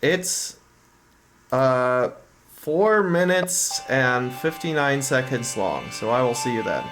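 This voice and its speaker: A woman's voice: very deep voice, expressive, takes it slowly, faint music behind. A woman streamer chatting casually on a livestream.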